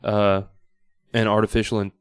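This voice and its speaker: A man speaking casually as a guest on a podcast, halting now and then as he thinks aloud. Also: fairly low pitch, little pitch movement, smooth, no room sound, clean studio sound. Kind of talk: casual chat. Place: podcast studio.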